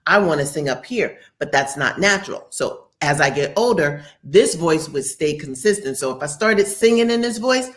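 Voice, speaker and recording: Deep-voiced lady on a podcast, blunt and chatty, acts out what people said. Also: normal speed, hardly any pauses. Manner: candid, animated storytelling with acted quotes. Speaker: podcast co-host. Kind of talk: casual opinion chat.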